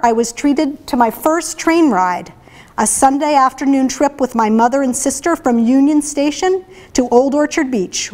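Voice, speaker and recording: A woman's voice: high-pitched, darkish tone. Chatty woman giving a gallery talk. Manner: chatty, hesitant, many fillers and restarts. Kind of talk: informal talk with read-aloud excerpts. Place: art gallery before an audience.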